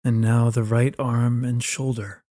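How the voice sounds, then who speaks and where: A man narrating a scripted guided meditation in a gentle, soothing manner, giving soft, unhurried instructions close to the mic. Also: mid-range voice, flat delivery, dry recording.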